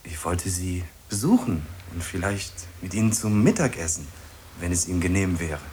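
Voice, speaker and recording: A man's voice: low-pitched, darkish tone, a little hiss or hum, natural voice. An actor playing a hesitant, lonely, obsessive man who stumbles and repeats himself in a radio play. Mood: awkward, pleading. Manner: halting, repeats himself, muttering to himself.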